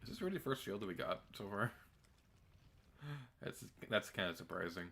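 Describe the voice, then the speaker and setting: A young man with a deep voice giving chatty, self-deprecating commentary while streaming a game. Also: lively intonation, moderate pace, vocal fry, fairly bright tone. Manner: chatty and self-deprecating, with filler words and laughs. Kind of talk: casual gameplay commentary.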